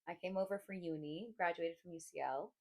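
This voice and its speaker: A woman's voice: on the low side, a little fast. A young woman in her twenties from the Middle East with an international-school, American-sounding accent, chatting casually as a podcast co-host and rambling with many fillers. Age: 20s. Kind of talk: casual chat.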